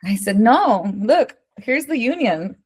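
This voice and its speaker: An American woman working as an interior designer, answering interview questions in a lively, conversational way and often checking in with "right?". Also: fairly high pitch, slow pace, husky. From United States. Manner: animated, keeps asking "right?", tells stories.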